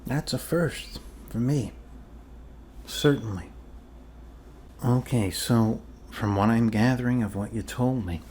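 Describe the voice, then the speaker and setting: A man doing an improvised ASMR role-play as a calm, quirky doctor, speaking haltingly and reassuringly to a patient. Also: medium pitch, a little hiss or hum. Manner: halting, reassuring, trailing off with fillers and pauses. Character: calm, quirky male doctor treating a zombie bite.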